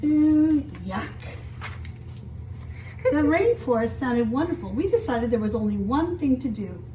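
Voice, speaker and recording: Woman, children's storyteller, expressive, explanatory asides and simple questions, slightly distant mic, faint noise. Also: high voice, a little slow. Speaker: children's story time teacher. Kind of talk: read-aloud picture book storytelling with asides to children. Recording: echoey room, distant mic, faint hiss.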